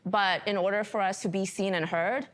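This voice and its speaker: A woman's voice: mid-range voice, fairly even intonation, normal speed. A woman from Korea speaking as a panelist, earnest and reflective.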